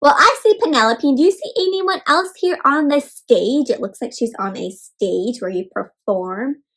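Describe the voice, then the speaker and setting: High, animated woman reading to children. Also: slightly rough. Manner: asks listeners questions, pauses, addresses children as friends. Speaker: read-aloud storyteller and teacher. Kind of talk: interactive children's read-aloud.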